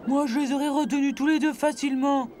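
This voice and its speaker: A man voicing an excitable, boastful animal sidekick in a French cartoon dub. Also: extremely high pitch, fast talker, slight background noise. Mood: indignant, boastful.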